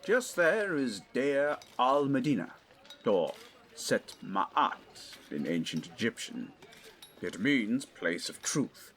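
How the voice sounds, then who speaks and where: An actor playing a learned, eager 1920s English archaeologist and father in an audio drama, middle-aged, speaking in a formal, professorial, deliberate way. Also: mid-range voice, sing-song, a bit bright, slight background noise, full character voice. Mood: scholarly. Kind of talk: scripted audio drama acting.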